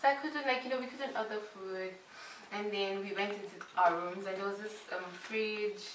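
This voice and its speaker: A woman from Nigeria, a medical student, telling a lively story in casual chat. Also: medium pitch, normal speed, slight background noise. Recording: echoey room, far from mic.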